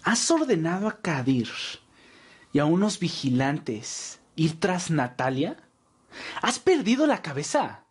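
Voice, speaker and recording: A man's voice: a little high, sing-song, slow, breathy edge, heavily acted. A man playing a principled, distraught vampire in an audio roleplay, pleading and indignant in manner. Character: distraught, principled male vampire challenging his leader. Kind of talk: ASMR audio roleplay acting.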